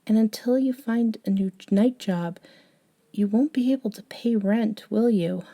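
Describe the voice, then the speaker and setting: Woman acting soft, mellow, unhurried eccentric young friend. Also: fairly high pitch. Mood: tentative, caring. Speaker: audio roleplay performer. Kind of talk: intimate ASMR audio roleplay.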